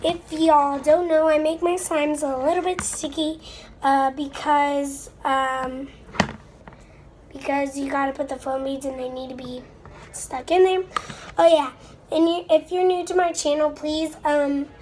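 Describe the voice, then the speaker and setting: A woman's voice: high-pitched, steady tone, a little slow, darkish tone. A young girl with a stuffed-up nose, chatting casually and a bit apologetically to viewers as she crafts at home, rambling as she goes. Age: child. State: stuffed-up and under the weather. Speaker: slime video maker. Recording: noisy amateur recording with clicks.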